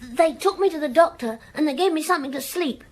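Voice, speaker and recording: A woman's voice: high-pitched, fast talker, lightly acted. A woman playing an anxious, evasive village schoolboy in a radio drama, hesitant, naive and defensive. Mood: sheepish, confiding.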